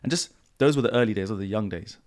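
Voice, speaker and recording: A man hosting a podcast in a casual, relaxed way, with a croaky voice and slangy, repetitive phrasing. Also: lowish voice, quick pace. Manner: relaxed, repeats himself, slangy. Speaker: podcast host. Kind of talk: casual podcast chat answering viewer questions. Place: home studio.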